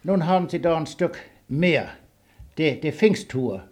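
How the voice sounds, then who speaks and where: A man interviewing an older woman, asking quick, polite questions in formal address. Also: a little high, expressive, a little mellow.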